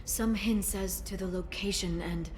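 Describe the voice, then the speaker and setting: A woman voicing a composed alien information broker in a video game cutscene, speaking softly and in a measured way. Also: medium pitch, fairly even intonation, slight background noise, natural voice. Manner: soft-spoken, measured, quiet intensity.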